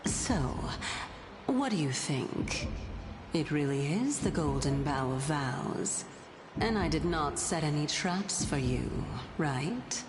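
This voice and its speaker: A woman's voice: deep voice, expressive, noisy background. An actress playing a mysterious, teasing woman guide in a video game, speaking in a knowing, playfully superior manner. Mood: persuasive. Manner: teasing, drawn-out, knowing delivery. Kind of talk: English video game voice acting.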